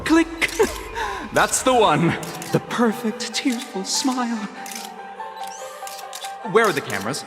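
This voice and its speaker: Swooping, high-voiced callous showman. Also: wildly swooping pitch, slow, long pauses, music in the background, heavily acted. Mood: gleeful, commanding. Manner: theatrical, bombastic. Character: gleeful, callous showy male TV show director. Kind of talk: dramatic game voice acting. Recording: big echoey reverberant space.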